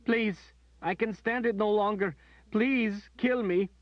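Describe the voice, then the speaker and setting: A man's voice: high voice, slow, no room sound, pitched above the performer's own voice. A man voicing a sobbing, desperate woman warrior. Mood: desperate pleading. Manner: sobbing, pleading. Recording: dull dry recording.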